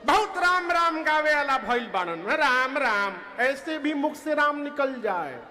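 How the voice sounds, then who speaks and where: High-voiced Bhojpuri preacher, slow and sung. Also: male voice, animated, sharp tone. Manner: alternates speech and slow devotional singing, playful folksy asides. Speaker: kathavachak preacher. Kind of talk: devotional discourse with sung bhajan.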